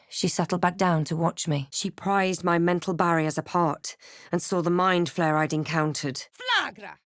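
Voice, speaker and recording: A woman playing a wry, guarded adventurer heroine in a fantasy game, with a measured, dramatic delivery. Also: fairly low pitch, gravelly, no room sound, clean studio sound, heavily acted. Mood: forceful incantation. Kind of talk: scripted fantasy game voice lines and narration.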